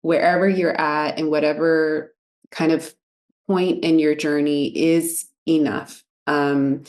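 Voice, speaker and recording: Woman in her thirties, an educator appearing as a podcast guest. Her voice is low. Earnest and thoughtful. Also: flat delivery, takes it slowly, darkish tone. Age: 30s. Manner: earnest and reflective. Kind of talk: casual interview chat.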